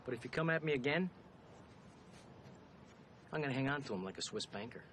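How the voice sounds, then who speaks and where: An actor playing a tough, cocky prison inmate in a cartoon film, blunt and sardonic. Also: male voice, medium pitch, expressive, fairly quick, fairly bright tone, slight background noise, close to an everyday voice. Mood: taunting. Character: tough, cocky, self-serving male prison inmate, wisecracking brawler. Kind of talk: animated film dialogue.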